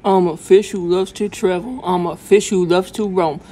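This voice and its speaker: A woman's voice: fairly low pitch, steady tone, moderate pace, warm tone, slight background noise, voice pushed up, close to an everyday voice. A woman voicing an earnest travel-loving fish in rhythmic rhyme for a children's storybook. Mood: eager. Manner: earnest, rhythmic rhyming delivery.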